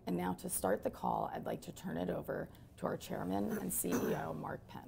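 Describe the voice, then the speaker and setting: Gravelly low-voiced woman reading formally. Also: brisk, warm tone, a little hiss or hum. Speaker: earnings call moderator introducing executives. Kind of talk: scripted corporate disclaimer reading.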